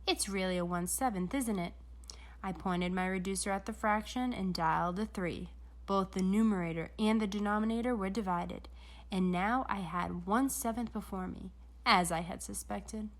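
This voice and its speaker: A woman's voice: mid-range voice, normal speed. A woman narrating a children's story aloud, with dramatic storytelling emphasis. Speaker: storybook reader.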